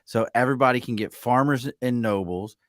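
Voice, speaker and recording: A man's voice: mid-range voice, a little fast, dry recording. Casting: male podcast host, Baltimore accent, joking banter, dry close-miked sound. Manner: jokey, animated, breaks into mock voices. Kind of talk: casual interview chat.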